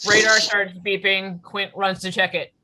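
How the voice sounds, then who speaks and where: Bright-voiced woman reading stage directions. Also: medium pitch, level tone, normal speed. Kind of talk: reading stage directions at a comic table read.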